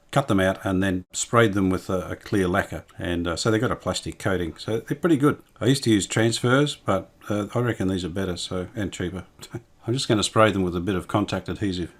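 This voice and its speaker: Male repair vlogger, low pitch, casual and unscripted, plain matter-of-fact delivery. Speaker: restorer presenting a hands-on vintage radio repair. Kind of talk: casual workbench commentary.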